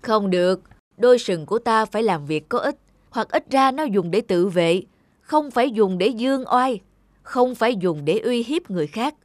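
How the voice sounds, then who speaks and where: A woman's voice: fairly high pitch, lively intonation, moderate pace, no echo, clean studio sound, lightly acted. A woman voicing a big, stern, thoughtful male antelope leader in a children's cartoon. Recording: faint music behind the voice.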